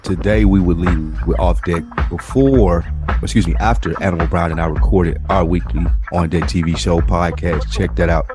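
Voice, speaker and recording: A man with a fairly low voice hosts a podcast and chats easily. he acts out stories, jumping way up in pitch when he quotes people. clear music plays under him the whole time, like a casual home podcast. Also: moderate pace. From Tennessee. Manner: animated storytelling, acts out quoted exchanges. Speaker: podcast host.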